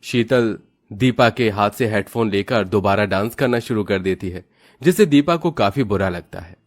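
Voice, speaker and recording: Guy narrating a cartoon village tale, scripted storyteller, voice a bit on the low side. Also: moderate pace.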